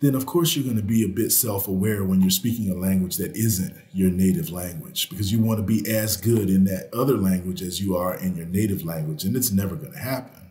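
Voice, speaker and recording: A man's voice: low-pitched, a little fast, few pauses, mellow, echoey room. A man speaking as a guest on a podcast, earnest and encouraging. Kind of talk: casual interview chat.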